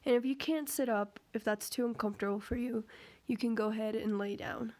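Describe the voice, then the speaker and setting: A woman leading a guided meditation in a gentle, measured, instructional way with pauses. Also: a little high. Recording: steady humming tuning-fork tone behind voice. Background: crystal tuning fork tone, hum.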